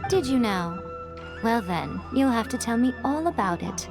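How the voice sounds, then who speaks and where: A woman's voice: fairly high pitch, animated, normal speed, close to an everyday voice. A woman voicing a sly, elegant shrine priestess in a video game, with a teasing, knowing manner. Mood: amused, teasing. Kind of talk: video game character acting. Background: background music.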